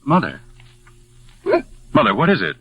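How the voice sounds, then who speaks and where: A man playing an affectionate, expressive young husband in theatrical 1940s radio drama style. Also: mid-range voice, measured pace. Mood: warm excitement. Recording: dull vintage radio broadcast.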